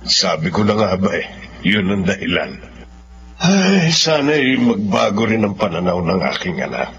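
A genial middle-aged uncle, fatherly and fairly low in voice. He sounds like old-style radio drama, muffled with faint noise. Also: big pitch swings, measured pace, fairly bright tone, full character voice. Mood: fatherly, concerned. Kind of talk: Filipino radio drama acting.

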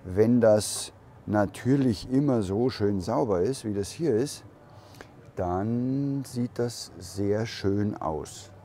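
A man giving a relaxed, unhurried walkaround tour of a camper van, thinking aloud as he goes, recorded inside the van at a trade fair hall. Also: lowish voice, a little hiss or hum.

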